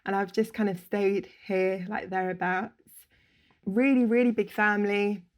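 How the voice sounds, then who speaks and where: A woman, telling her story as a podcast guest, open and quick to laugh, speaking over a video call. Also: medium pitch, fairly even intonation. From England. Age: 30s. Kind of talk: casual interview chat. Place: home room, indoors.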